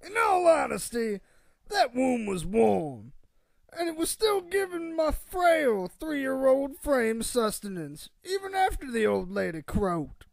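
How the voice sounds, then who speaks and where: Comic radio play: ancient, cantankerous old man, high-pitched, heavily creaky, rambling and crude. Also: sing-song, slow, no echo, heavily acted. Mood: nostalgic, unbothered. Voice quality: creaky, gravelly old-timer voice. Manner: rambling, cantankerous, folksy storytelling with profanity. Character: crotchety, crude, boastful world's oldest man, born 1824. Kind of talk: comedic radio theatre interview.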